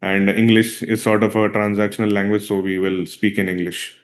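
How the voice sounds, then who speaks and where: A man's voice: lowish voice, level tone, normal speed. Man leading an online workshop. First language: Marathi. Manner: conversational, frequent "all right?" checks and "uh" fillers. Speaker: filmmaker and workshop presenter. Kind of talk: live online workshop talk.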